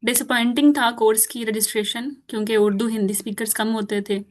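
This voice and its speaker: Chatty woman teacher with a high voice. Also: fairly even intonation, fairly quick. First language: Urdu. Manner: chatty, laughs often, mixes English into Urdu-Hindi. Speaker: course teacher. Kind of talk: informal online class talk.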